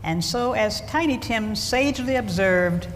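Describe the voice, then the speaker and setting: A woman narrating and announcing in a 1940s radio style, theatrical and measured. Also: a little high, expressive, a little hiss or hum. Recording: loud music underneath.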